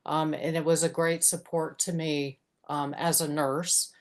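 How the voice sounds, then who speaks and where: A middle-aged woman, a nurse, giving an earnest unscripted comment over a video call in a measured, halting way. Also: low-pitched, little pitch movement. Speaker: nurse, audience participant.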